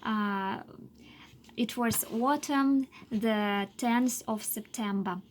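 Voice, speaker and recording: Woman, late 20s, slow halting english full of uhs, bit of a high voice. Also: a little hiss or hum. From Russia. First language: Chuvash. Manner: halting, many filler pauses and restarts. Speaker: English learner telling her life story. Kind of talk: unscripted personal monologue.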